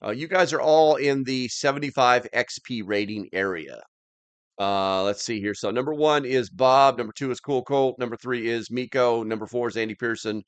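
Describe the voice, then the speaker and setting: A man's voice: mid-range voice, normal speed, clear, resonant tone, no room sound. A man hosting a live stream, chatting casually as he reads and answers comments in a rambling, plain-spoken, slightly grumbly way.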